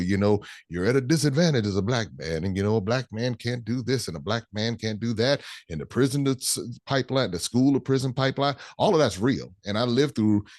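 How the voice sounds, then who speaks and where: A man's voice: on the low side, no echo, studio quality. An American man in his forties, a travel guest speaking earnestly and emphatically in an interview. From United States. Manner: earnest, emphatic, repeats phrases for stress. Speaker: guest, travel tour organizer. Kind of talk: conversational interview.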